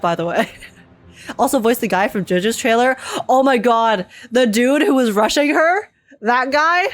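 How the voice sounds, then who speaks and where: A woman with a high voice reacts to a game as a streamer. She is chatty, informal and giggly, with game music playing behind her. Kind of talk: casual live reaction commentary.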